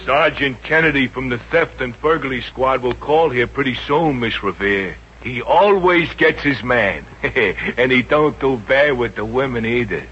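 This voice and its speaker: Blustery dim cop, bright swooping voice. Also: male voice, medium pitch, lively intonation, measured pace, few pauses. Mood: eager, pleased. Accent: American. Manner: blustering, flustered, repeats himself. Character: gullible, eager, dim-witted city cop, would-be detective. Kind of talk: 1950s American radio comedy acting. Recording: muffled, hissy old radio recording.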